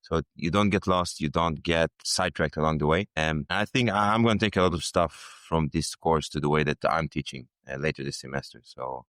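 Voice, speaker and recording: A young man from Israel with a very deep voice. He studies medicine and answers thoughtfully, restarting his sentences now and then. His voice is recorded close and dry. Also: brisk, hardly any pauses, a bit bright, no echo. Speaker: medical student. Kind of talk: interview answers.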